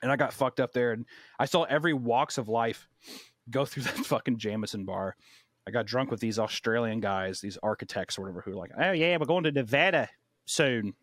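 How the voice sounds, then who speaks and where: An American man with a mid-range voice tells a rambling, profane story in casual podcast chat. He jumps into higher tones to act out quotes. The recording is dry and close, with little room sound. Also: fairly quick, dry recording. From United States. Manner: animated storyteller, swears often, acts out quotes in a high voice.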